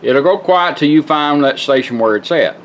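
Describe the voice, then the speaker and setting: A man's voice: fairly high pitch, expressive, normal speed, a little hiss or hum. A man giving a casual, folksy product demonstration, explaining things step by step in an informal way. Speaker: radio restorer demonstrating a product.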